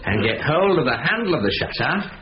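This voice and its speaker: A man's voice: on the high side, big pitch swings, brisk, crowd noise. An actor in a 1970s radio drama playing a patient ringleader who briefs his thieves in short, clipped instructions. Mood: instructing. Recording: muffled old radio broadcast with hiss.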